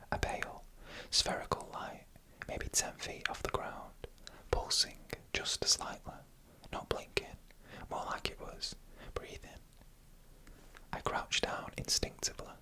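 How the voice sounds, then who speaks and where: ASMR storyteller for creepy tales: fully whispered, breathy, bright, hushed and deliberate phrasing. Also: rough recording. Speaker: narrator reading online stories.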